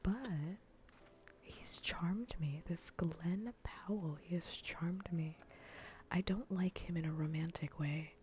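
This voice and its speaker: A woman hosting a livestream, chatting casually in a soft, breathy, whispery ASMR voice and rambling with dry humour. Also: fairly low pitch, slow, a little hiss or hum. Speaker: livestream host. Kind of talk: casual ASMR stream chat.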